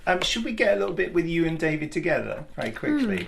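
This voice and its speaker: A man's voice: on the high side, big pitch swings, quick pace, creaky, slight background noise. An older man interviewing in a studio, jokey and self-mocking in casual chat. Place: recording studio.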